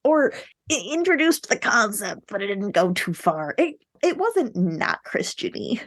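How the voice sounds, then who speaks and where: A woman's voice: medium pitch, very animated, unhurried, no room sound. A woman co-hosting a podcast, talking casually and bluntly about books with strong opinions. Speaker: podcast co-host. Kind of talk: casual book discussion.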